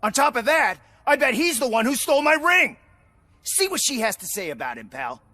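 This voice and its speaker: Petulant celebrity man, game cutscene: very high, bright, brash, dismissive. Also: sing-song, normal speed, a little hiss or hum, heavily acted. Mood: indignant, sneering. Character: arrogant, petulant male TV commercial star. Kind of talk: video game cutscene acting.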